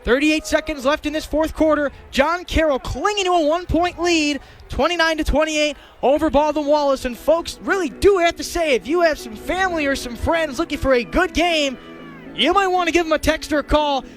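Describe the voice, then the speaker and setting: A man's voice: extremely high pitch, brisk, faint music behind. A young man doing live radio play-by-play of a football game in vivid, clipped, detailed phrases. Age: young adult. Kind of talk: live play-by-play sports commentary.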